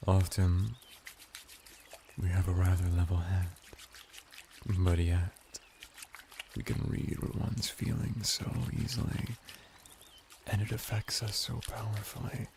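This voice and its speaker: Casting: male actor as a courtly, flirtatious merman for intimate ASMR roleplay; very low register, crackling vocal fry. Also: full character voice. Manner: soft-spoken, intimate, formal flattering speech with lingering pauses. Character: flirty, calm male merman bathhouse attendant, courtly and seductive. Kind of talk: ASMR roleplay addressed to the listener.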